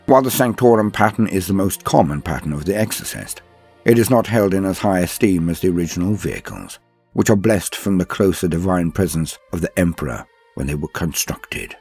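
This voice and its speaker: A man with a husky voice giving scripted lore narration in a florid, theatrical, formal manner. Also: sits low, brisk, a little mellow, faint music behind. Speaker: narrator and host of a lore guide.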